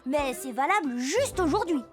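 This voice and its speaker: A woman's voice: sits high, animated, normal speed, over loud music. A woman voicing a self-assured, lecturing young boy, a big brother in a French-dubbed cartoon. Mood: instructing.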